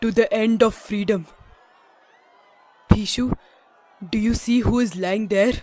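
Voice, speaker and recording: A woman's voice: mid-range voice, fairly even intonation, slow pace, dark tone, a faint crowd. A woman playing a loyal, indignant rebel worker in a theatrical audio drama. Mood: mournful. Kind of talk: theatrical stage-play acting in an audio drama.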